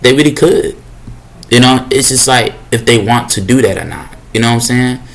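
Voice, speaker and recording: A young man with a deep voice giving a rambling motivational monologue, casual and repetitive with slang fillers. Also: normal speed, creaky.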